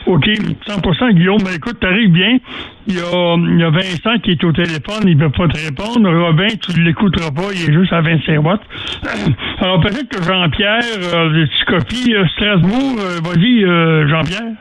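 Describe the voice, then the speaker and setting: A man's voice: sits high, moderate pace, noisy background. A man with a Quebec French accent chatting casually as a radio operator, rambling in a folksy way and repeating himself. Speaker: amateur radio operator leading a group contact.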